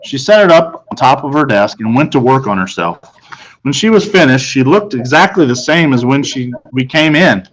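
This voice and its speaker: A man's voice: medium pitch, animated, moderate pace, low-quality recording. A man narrating a children's novel aloud, as a storybook read-aloud.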